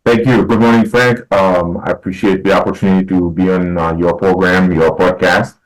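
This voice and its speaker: Deep-voiced middle-aged podcast guest from Haiti; measured, thoughtful, pauses often. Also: male voice, level tone, poor audio. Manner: measured, many fillers and restarts. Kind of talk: casual interview talk.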